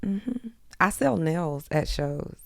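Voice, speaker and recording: A woman's voice: fairly low pitch, expressive, takes it slowly, vocal fry. A woman chatting casually as a podcast guest, blunt and teasing. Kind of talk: casual chat.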